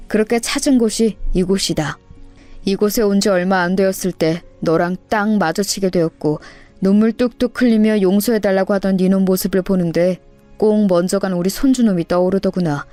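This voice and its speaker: A woman voicing an elderly male school guard, gruff but tender, reading out his slow, plain-spoken farewell letter in a story. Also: medium pitch, mellow, dry recording, close to an everyday voice.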